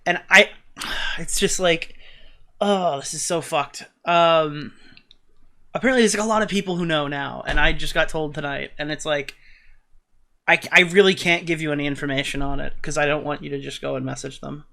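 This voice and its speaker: A young man talking casually on a voice call, halting and pleading, with frequent swearing. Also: fairly high pitch, fairly even intonation, gravelly, bright, forceful tone.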